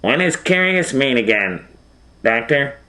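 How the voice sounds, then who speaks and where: A man's voice: medium pitch, very animated, unhurried, sharp tone, pitched above the performer's own voice, low-quality recording. A man voicing an innocent, curious child who interrupts a storybook reading with questions.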